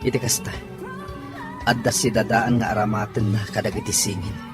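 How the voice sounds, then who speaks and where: A man's voice: lowish voice, flat delivery, moderate pace, music in the background, lightly acted. A man acting a troubled father and master of the house in a melodramatic audio drama.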